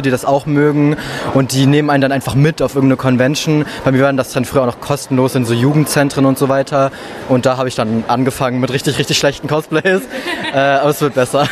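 A young man from Berlin giving a casual interview as a cosplayer. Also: medium pitch. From Berlin, Germany. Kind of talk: casual chat. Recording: clear outdoor-like background noise.

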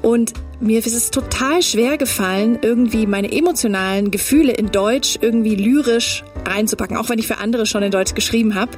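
A woman's voice: on the high side, brisk, hardly any pauses, soft background music. A woman musician who grew up in Germany with English as her first language, chatting upbeat in an interview and mixing English words into her talk. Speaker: musician guest. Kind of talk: casual interview.

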